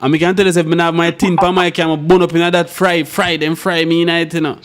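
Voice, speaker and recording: A Jamaican man talking fast in Patois, complaining and spinning stories in a casual group chat. His voice sits a little high and the words rush out. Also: little pitch movement. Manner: quick, complaining storyteller.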